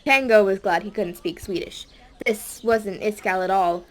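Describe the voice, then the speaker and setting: A woman's voice: mid-range voice, moderate pace. A woman reading a scripted audiobook story as a dramatic narrator.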